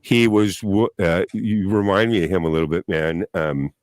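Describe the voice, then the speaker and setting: A man chatting casually on a live panel, telling stories in a loose, profane way with drawn-out emphasis. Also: low-pitched, no room sound, clean studio sound.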